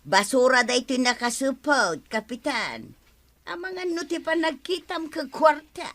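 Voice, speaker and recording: A woman's voice: high-pitched, expressive, unhurried, a bit bright. A woman playing an indignant, scolding young village miss in a comedic radio drama. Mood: teasing.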